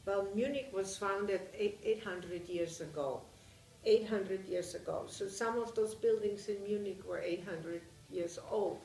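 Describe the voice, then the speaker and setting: An older woman from Germany with a Bavarian German accent, recounting her memories in an interview, often pausing to search for words. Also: mid-range voice, mellow, roomy sound, distant mic, low-quality recording. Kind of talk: oral history interview.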